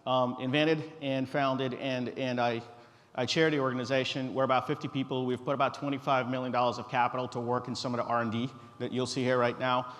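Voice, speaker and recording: A middle-aged man from Guyana giving an informal live stage presentation in a relaxed, direct, joking manner. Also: medium pitch, a little fast. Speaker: company founder presenting a tech demo. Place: conference stage in a large reverberant hall. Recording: echoey hall through a stage PA.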